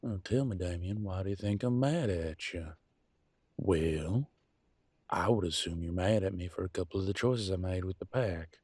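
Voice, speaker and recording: A man acts out a deep-voiced werewolf pack leader in a relationship drama, worn down and bitter. He talks in a folksy, colloquial way with a sarcastic edge and deliberate pauses. The sound is close and dry. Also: darkish tone, slightly rough, dry recording. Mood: weary, sarcastic. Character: weary, embittered male werewolf pack leader and husband. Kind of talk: dramatic one-sided relationship roleplay audio.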